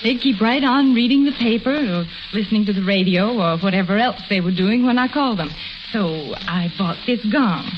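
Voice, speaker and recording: An actress playing a chatty, earnest housewife customer in a 1940s radio advertisement. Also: female voice, mid-range voice, moderate pace, natural voice. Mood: earnest, explaining. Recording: hissy muffled old radio broadcast.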